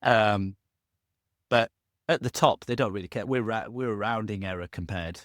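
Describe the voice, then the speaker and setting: A man's voice: lowish voice, normal speed, dry recording. A middle-aged man, a podcast guest talking casually in a rambling, self-correcting way with jokey asides. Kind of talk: casual conversational interview.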